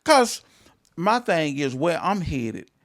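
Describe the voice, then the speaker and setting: A pastor in his fifties co-hosting a casual talk show, emphatic and quick to repeat himself. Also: fairly high pitch, big pitch swings. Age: 50s. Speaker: pastor and podcast co-host. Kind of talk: casual chat.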